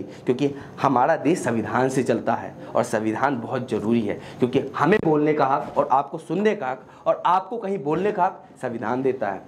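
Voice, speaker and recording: News presenter, medium pitch, didactic explainer delivery, question-and-answer phrasing, faint background noise. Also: darkish tone. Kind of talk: explainer news commentary addressed to viewers. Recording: clearly noisy background.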